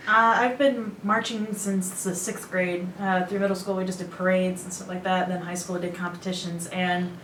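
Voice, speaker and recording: A woman's voice: lowish voice, level tone, normal speed, hardly any pauses, a bit bright, reverberant, slight background noise, low-quality recording. A young woman in her early twenties from Illinois, answering interview questions in a chatty, earnest way. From Illinois, USA. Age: early 20s. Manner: chatty, earnest, laughs easily. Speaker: student guest.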